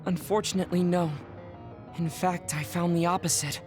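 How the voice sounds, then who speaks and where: A man voicing a reserved young male soldier who hides a secret, speaking formally and softly. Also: high voice, fairly even intonation, moderate pace, lightly acted. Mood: worried, formal. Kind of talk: game cutscene dialogue. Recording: game audio with music behind.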